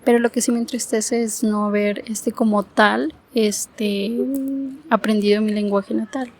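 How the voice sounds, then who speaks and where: A woman's voice: medium pitch, flat delivery, a little slow. A young woman from Ecuador, a university student, answering interview questions plainly and proudly, with hesitant "este" fillers. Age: young adult.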